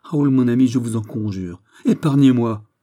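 A man's voice: mid-range voice, unhurried, warm tone, voice pushed up, natural voice. A man voicing an anguished, guilt-ridden young woman in love, imploring and impassioned in a dramatic storybook reading. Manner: impassioned, imploring, breathless exclamations.

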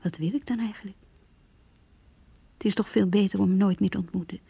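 Wounded mistress, extremely breathy near-whisper, soft mellow tone, intimate sorrowful radio-play diary, close and dry. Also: female voice, mid-range voice, fairly even intonation, brisk, dry recording. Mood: wistful, bitter, resigned. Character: heartbroken mistress, wounded, longing, quietly bitter. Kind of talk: radio drama inner monologue, diary reading.

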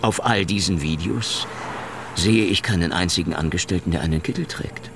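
An actor playing an officious, controlling store manager who talks in a clipped, patronizing way in a radio drama. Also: male voice, low voice, moderate pace, noisy background. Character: officious male store manager, middle-aged, petty. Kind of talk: German radio drama acting.

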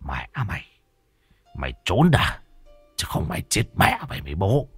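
A foul-mouthed, hot-headed exorcist barks curses in a low, rough voice, as a male narrator puts him on. His temper flares at every turn. The recording is close and dry. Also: wildly swooping pitch, moderate pace, dry recording, faint music behind, heavily acted. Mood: angry. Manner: cursing, gruff.

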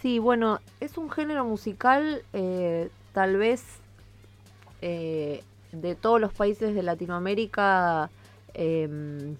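An Argentine woman giving a conversational radio interview as a musician, explaining things in a reflective, halting way. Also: mid-range voice, lively intonation, faint music behind. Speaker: interviewed hip-hop musician.

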